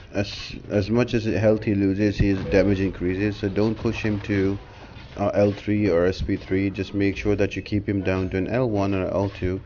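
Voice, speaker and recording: A man's voice: lowish voice, dark tone. A man giving a casual, step-by-step game walkthrough as a guide host, explaining tactics in an instructive way. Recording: dull lo-fi mic with clear noise.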